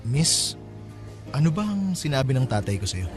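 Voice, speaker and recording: A man's voice: medium pitch, very animated, normal speed, over music, lightly acted. A man playing a polite but flustered peacemaking eldest son in his late twenties in a Filipino radio drama. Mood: calming, polite.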